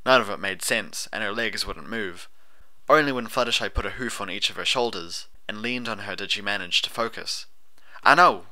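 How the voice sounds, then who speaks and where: A man's voice: fairly low pitch, fairly quick, sharp tone. A man reading a fantasy story as a scripted audiobook narration.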